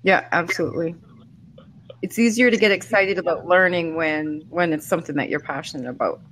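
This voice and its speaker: A woman's voice: fairly low pitch, brisk, a bit bright, a little hiss or hum, low-quality recording. A woman co-hosting a casual online group chat, agreeing and building on what others say over a video call. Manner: agreeable, affirming. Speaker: host.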